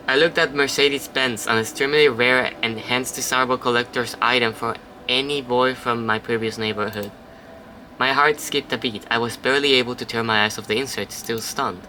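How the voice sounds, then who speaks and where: Bright-voiced man reading a game aloud. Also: medium pitch, moderate pace, a little hiss or hum, low-quality recording. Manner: reads narration, then chats and swears casually. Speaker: let's play host. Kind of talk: casual game playthrough, reading text aloud.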